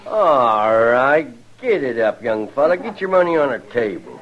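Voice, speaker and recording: An actor playing a gruff, impatient card dealer in a radio western, with a rustic American drawl, on an old recording. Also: male voice, medium pitch, big pitch swings, a little slow. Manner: rustic, slangy, pushy. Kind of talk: 1950s radio western acting. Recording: dull old radio recording, crowd behind.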